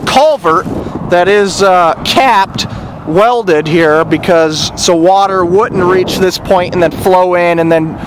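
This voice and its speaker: A man giving a casual on-location report outdoors by a river, rambling with many "uh" fillers. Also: high voice. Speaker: citizen reporter. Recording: outdoor recording with loud wind and river noise.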